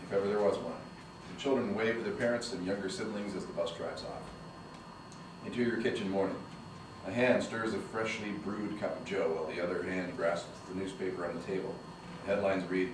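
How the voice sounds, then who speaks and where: A low-voiced man reads the scene directions in a plain, measured way. He sits back from the mic in a strongly echoing room. A steady noise runs under the read. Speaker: narrator reading stage directions. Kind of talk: screenplay table read narration. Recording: echoey room from a distance, noisy.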